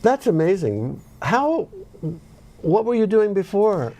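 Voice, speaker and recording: A man's voice: high-pitched, very animated, slow, mellow. A man interviewing a guest with a curious, encouraging manner. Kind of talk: conversational interview.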